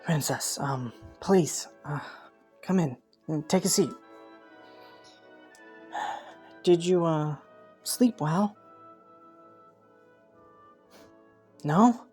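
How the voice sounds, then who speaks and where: Low-voiced, courteous young prince played by a woman. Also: slow, darkish tone, faint music behind. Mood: nervous, courteous. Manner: formal courtly phrasing with flustered stammers. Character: young scheming prince, courteous, flustered, wryly calculating. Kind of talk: romantic audio roleplay addressed to the listener.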